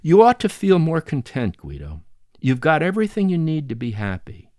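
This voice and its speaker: A man's voice: on the high side, huge pitch swings, normal speed, a little mellow, dry recording, clean studio sound, pitched above the performer's own voice. A man reading an audiobook, voicing a wild, ironic woman who teases and speaks bluntly. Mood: teasing. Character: wild, ironic grown woman, sister-like cousin.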